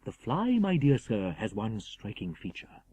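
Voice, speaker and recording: A man's voice: on the low side, huge pitch swings, unhurried, dark tone, husky, heavily acted, rough recording. A man voicing an agitated, cunning asylum madman, superior and evasive, in a theatrical, erratic and insistent manner for an audiobook. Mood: superior, grandiose. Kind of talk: dramatised audiobook character voice.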